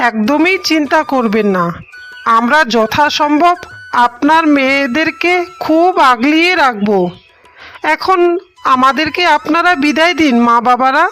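A woman's voice: high-pitched, a little slow, fairly bright tone. A woman playing a caring, dutiful young husband in a cartoon tale, speaking earnestly and reassuringly over music. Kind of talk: melodramatic cartoon story dialogue. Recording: music bed under the voice.

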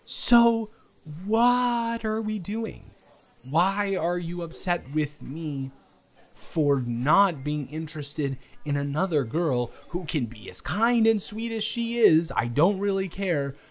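A young man playing an earnest, flustered romantic lead in an improvised audio roleplay, speaking in a halting, emphatic, stammering way. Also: fairly high pitch. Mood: confused, hurt.

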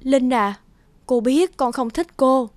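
A woman voicing a gentle, patient stepmother-to-be in a cartoon. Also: sits high, slow pace, no room sound. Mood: gentle, pleading. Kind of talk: cartoon voice acting.

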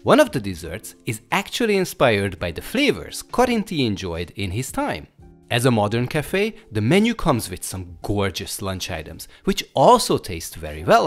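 A man with a Hungarian accent narrates a scripted travel guide. His voice sits fairly low but swings very widely in pitch, over background music.

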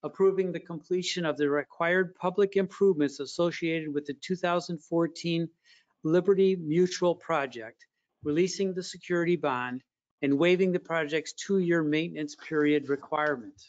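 A man chairing a council meeting by video call, reading agenda items in a steady, formal manner. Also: on the high side, normal speed. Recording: dull lo-fi video call audio.

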